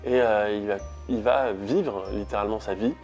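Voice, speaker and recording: A man's voice: on the low side, normal speed, darkish tone, faint music behind. A young man with a French accent talking casually to viewers as a YouTube host, rambling with frequent hesitations. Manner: chatty, rambling with frequent "euh". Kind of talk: casual vlog talk to viewers.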